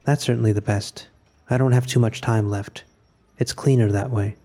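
A man acting a solitary, resigned narrator with a dark, mellow voice. He speaks in a measured, introspective way, as in a literary audio drama. Soft music sits behind him. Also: medium pitch, fairly even intonation, natural voice. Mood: quiet resignation. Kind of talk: scripted first-person audio fiction narration.

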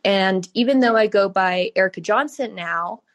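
A woman's voice: mid-range voice, moderate pace. A woman in her thirties from California telling her life story as a podcast guest. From California, USA. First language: Mandarin Chinese. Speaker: podcast guest, startup founder. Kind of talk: conversational interview storytelling.

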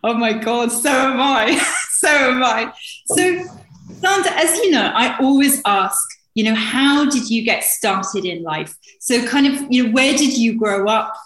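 A woman's voice: a little high, animated, measured pace, fairly bright tone, roomy sound, rough recording. A woman hosting a conversational interview in a gushing, effusive manner. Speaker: interview host.

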